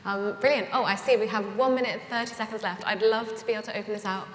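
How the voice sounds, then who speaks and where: Woman, panel moderator, friendly and brisk, conference stage, echoey hall. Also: mid-range voice, fairly even intonation. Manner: friendly, brisk, keeps time. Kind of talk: live panel discussion. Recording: roomy hall with long reverb.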